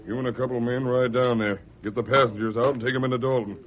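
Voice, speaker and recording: Actor as gruff outlaw leader: scheming, bossy, mid-pitched male voice, dull vintage radio western. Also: fairly even intonation, fast talker, natural voice. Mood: confident, scheming. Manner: gruff, bossy, folksy bad grammar. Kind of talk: 1940s radio western acting. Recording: muffled old radio recording.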